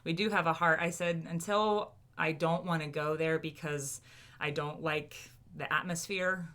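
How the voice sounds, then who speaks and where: A woman in her forties from Ohio, talking earnestly and warmly about serving in youth ministry. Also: low-pitched, steady tone, unhurried. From Ohio, USA. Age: 40s. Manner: earnest, heartfelt. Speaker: guest, youth volunteer. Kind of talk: casual conversational testimony.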